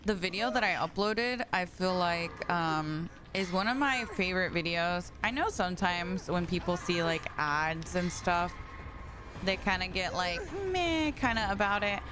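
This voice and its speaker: A woman's voice: lowish voice, animated, unhurried. A tired young woman chatting casually on a live stream, greeting viewers by name with lots of "like" and "you know". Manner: chatty, reads and greets chat, frequent likes. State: tired from staying up late. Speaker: livestreamer.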